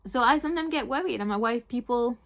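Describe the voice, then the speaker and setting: A woman's voice: a little high, quick pace. Chatty French woman with Yorkshire twang. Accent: French mixed with Yorkshire. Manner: chatty, says "like" a lot, excitable. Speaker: book vlogger. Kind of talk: chatty vlog monologue.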